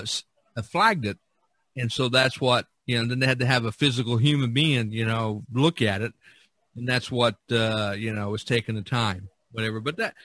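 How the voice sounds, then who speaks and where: A man's voice: medium pitch, sharp tone, no echo. Man telling stories casually, dry close sound. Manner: halting, repeats words, conversational storytelling. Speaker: podcast host and artist. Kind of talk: casual anecdotal podcast talk.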